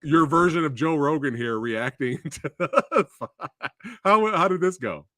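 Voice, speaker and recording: A man's voice: fairly high pitch, animated, measured pace, pushed and bright, dry recording. A man hosting a casual interview, upbeat and friendly, laughing often. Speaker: host.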